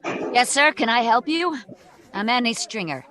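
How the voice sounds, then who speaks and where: A woman's voice: on the high side, lively intonation, unhurried, fairly bright tone. Brusque, tough health club boss played by a woman. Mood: brisk, businesslike. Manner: brusque, no-nonsense. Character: tough, burly female health club owner, ex-wrestler, brusque. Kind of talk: hard-boiled detective audio drama.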